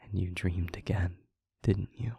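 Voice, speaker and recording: A man playing an anguished demon in an intimate audio roleplay, speaking haltingly and hushed, pleading with someone he loves. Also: low-pitched, fairly even intonation, dry recording, exaggerated voice. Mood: grave, hesitant. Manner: halting, hushed, breathy near-whisper, pleading. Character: anguished male demon confessing to a loved one.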